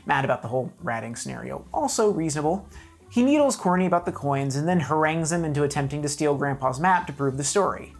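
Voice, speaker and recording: A woman's voice: low-pitched, expressive, fast, faint music behind. A woman narrating a scripted comedic video review in a wry, mock-serious, emphatic way.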